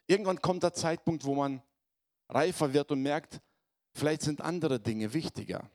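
A man preaching to his congregation, hoarse from a cold, speaking in a conversational way and often checking in with his listeners. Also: fairly high pitch, normal speed, a little mellow. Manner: conversational, addresses congregation with tag questions. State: has a cold, voice affected. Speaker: pastor. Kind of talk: sermon.

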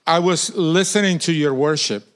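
A man from Argentina, preaching as a guest pastor in halting English and joking as he goes. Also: fairly high pitch. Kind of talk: sermon with personal stories.

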